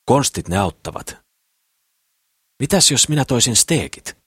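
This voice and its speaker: A man's voice: medium pitch, big pitch swings, a bit bright, slightly rough, dry recording, studio quality, voice pushed up. A man voicing an eager, boastful, simple builder in love, rambling and slangy, in an audiobook. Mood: puzzled, scheming. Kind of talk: audiobook character voice.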